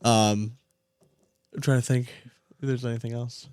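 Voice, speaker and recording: A man's voice: mid-range voice, fairly even intonation, measured pace, darkish tone, clean tone, no echo, studio quality. A man hosting a podcast in a casual, conversational sports chat. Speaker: podcast host.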